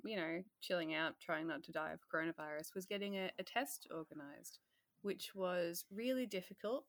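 A woman, a healthcare worker telling her story in a casual, animated interview and acting out her own earlier remarks. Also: lowish voice, dry recording.

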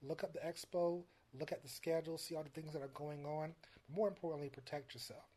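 A soft-spoken man being interviewed as a music producer, talking casually with many fillers and restarts. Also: fairly high pitch, steady tone, quick pace.

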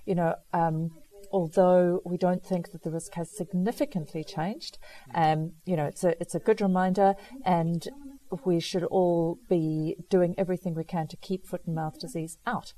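A woman with a South African accent, a chief veterinary officer answering interview questions in a measured, explanatory way. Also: on the low side, fairly even intonation, mellow, poor audio.

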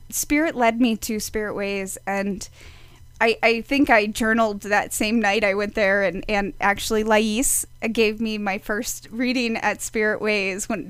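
A woman's voice: mid-range voice, fairly bright tone, clean tone, dry recording. A woman, a psychic medium, chatting casually as a podcast guest, laughing often and restarting her sentences. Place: studio.

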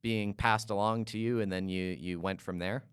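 A man from Canada hosting an interview and asking long, halting questions full of "uh"s and restarts. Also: on the low side, steady tone, smooth, dry recording, studio quality. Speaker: podcast host.

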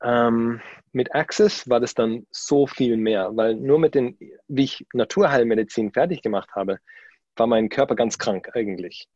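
A man who was born in Germany and grew up in Australia, speaking somewhat halting German with a background, full of fillers and restarts, as a guest in a casual interview. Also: medium pitch, darkish tone, no room sound, muffled recording. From Germany, raised in Australia.

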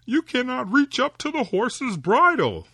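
A man's voice: very high voice, very animated, unhurried, sharp tone, breathy edge, no echo, studio quality, pitched above the performer's own voice, exaggerated voice. Figure: kindly poor woodman, read by a man. Tone: plain, good-humored. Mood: amused.

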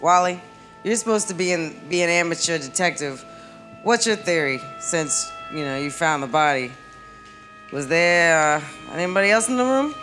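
Brisk, wry police captain played by a woman. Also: on the low side, animated, fairly bright tone, faint music behind. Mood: wry, probing. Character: brisk, skeptical police captain questioning murder suspects. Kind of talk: comedic parody of classic radio play.

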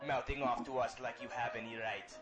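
Under loud music, a man plays a scornful policeman in a radio drama. His mid-pitched voice sneers with menace and contempt. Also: steady tone, a little fast, highs cut off, lightly acted. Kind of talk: amateur radio drama acting.

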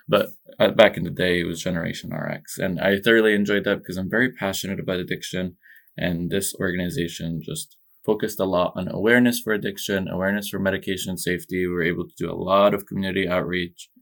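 A young man from Egypt answers interview questions in a calm, modest way. His voice is very low and flat, with a gravelly texture. He talks in a steady, measured pace. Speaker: podcast guest.

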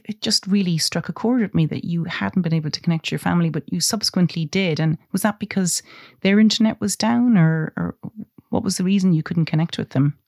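Measured podcast host, dry sound. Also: medium pitch, vocal fry, dry recording. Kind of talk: interviewing a guest.

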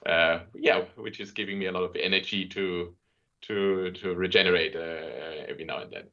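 Very deep-voiced German man, muffled recording. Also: steady tone, moderate pace, sharp tone. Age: middle-aged. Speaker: interview guest, marketing and sales director. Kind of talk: conversational business interview answers.

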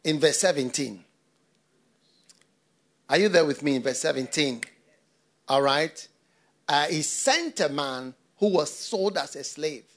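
A man's voice: a little high, lively intonation, measured pace, long pauses. An older man from Ghana, a pastor, preaching a sermon with repeated phrases and rhetorical questions.

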